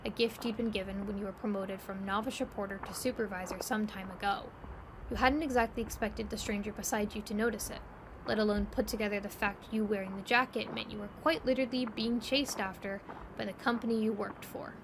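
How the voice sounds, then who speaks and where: Woman narrating over clear background noise. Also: medium pitch, fairly quick. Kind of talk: scripted second-person story narration. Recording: loud steady background ambience noise. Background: ambient noise.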